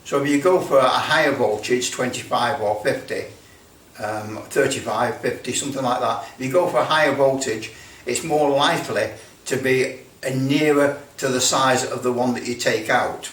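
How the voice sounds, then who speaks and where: A man's voice: medium pitch, moderate pace, fairly bright tone. A man presenting a hands-on repair demonstration in casual talk, thinking aloud hesitantly and often repeating himself as he goes. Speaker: presenter of an electronics repair video. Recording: roomy, distant mic, faint background noise.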